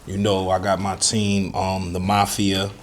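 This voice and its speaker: A man from Harlem with a New York accent, a rapper guest telling his story in a laid-back, relaxed way in a bakery. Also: low-pitched, a little slow. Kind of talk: casual interview talk.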